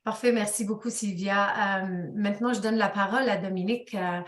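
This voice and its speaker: A woman's voice: medium pitch, steady tone, fairly quick, roomy sound. A woman moderating a webinar panel, asking questions with many hesitations.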